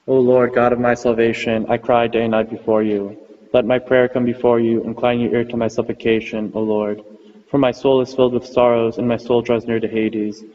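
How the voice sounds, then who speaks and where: A man reading psalms aloud in a steady, chant-like liturgical style. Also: medium pitch, fairly quick. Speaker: reader of psalms. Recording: muffled recording with long reverb.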